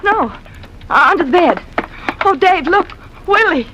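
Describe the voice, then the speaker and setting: An actress playing an anxious, faltering niece in a 1940s radio thriller, on a noisy old recording. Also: female voice, very high voice, lively intonation, a little slow. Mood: panicky. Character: frightened, hesitant young niece plotting with her partner. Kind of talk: 1940s radio drama acting.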